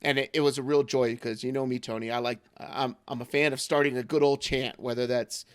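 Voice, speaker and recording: Hoarse American man chatting sports. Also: medium pitch, steady tone, brisk, dry recording, studio quality. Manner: rambling, filler-heavy, joking. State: hoarse from losing his voice. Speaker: podcast co-host. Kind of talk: casual sports chat.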